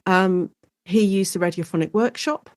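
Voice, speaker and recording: A woman with an English accent explaining things as an expert guest in a conversational interview, in an animated, informal way. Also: lowish voice, moderate pace, no room sound.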